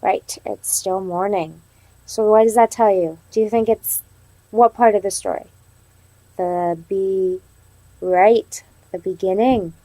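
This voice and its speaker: A woman's voice: mid-range voice, slow pace, warm tone, no echo, rough recording. A woman teaching young children in a read-aloud lesson, prompting them with simple questions and reading in an instructional way. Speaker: elementary teacher.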